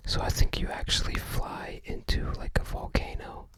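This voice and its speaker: An ASMR host whispering softly and breathily close to the microphone, musing casually and tentatively. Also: moderate pace. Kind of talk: ASMR, casual commentary while browsing a game guide book.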